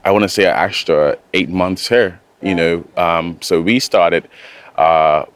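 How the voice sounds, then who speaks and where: Young male interviewee, Bermudian accent, low-pitched voice, informal answers. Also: steady tone, a little slow, slight background noise. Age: young adult. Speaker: air traffic controller interviewee.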